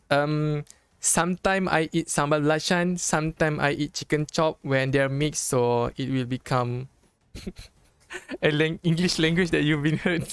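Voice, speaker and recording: A young man from Malaysia with a Malaysian accent, a Malay native speaker casting a live mobile esports match in English, chatty and joking, with halting phrasing and frequent restarts. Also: on the high side, fairly even intonation, clean tone, no room sound. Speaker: esports caster. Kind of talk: live esports game commentary.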